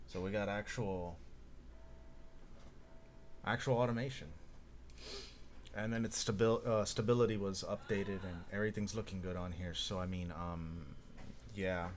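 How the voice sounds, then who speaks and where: A man talking casually on a live coding stream as a software developer, thinking aloud as he demonstrates. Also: sits low, steady tone, a little slow, pauses often, slight background noise.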